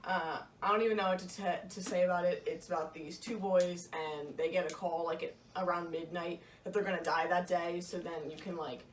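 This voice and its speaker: A young woman with a slightly low voice talks casually and earnestly about books, wording things loosely as she goes. The recording is roomy and a bit far from the mic, with light noise. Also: steady tone, a little fast, hardly any pauses. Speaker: book vlogger, co-host. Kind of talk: casual book chat. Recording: echoey room, distant mic, faint noise.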